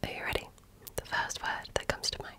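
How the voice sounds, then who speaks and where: A woman whispering in ASMR style as she role-plays a medical examiner, gently asking questions in short, measured phrases.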